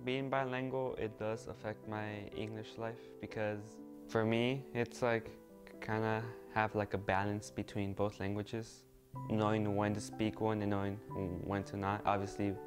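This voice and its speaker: Young Mexican-accented man, halting student interview, music underneath. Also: lowish voice, flat delivery. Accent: Mexican Spanish. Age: young adult. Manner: halting, with restarts. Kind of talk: casual interview. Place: small room indoors.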